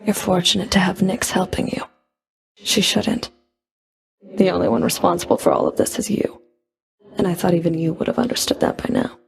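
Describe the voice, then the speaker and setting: A woman playing a cold, scornful Fury of the underworld in a video game, taunting with deliberate, contemptuous menace and a breathy edge. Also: on the low side, steady tone, full character voice. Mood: cold, sardonic. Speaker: video game villain voice actor. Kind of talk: video game combat voice lines.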